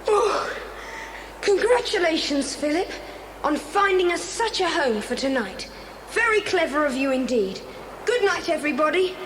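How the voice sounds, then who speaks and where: A very high, brisk young boy adventurer, acted by a woman, plucky and practical, giving orders in exclamations. It is a children's cassette adventure drama, ringing with long reverb over clear background noise. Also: big pitch swings. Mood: relieved, practical.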